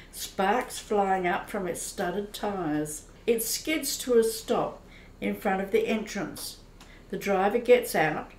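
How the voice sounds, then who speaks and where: Slow woman narrator, echoey room. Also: mid-range voice, a little mellow. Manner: measured, descriptive. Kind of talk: scripted radio play narration.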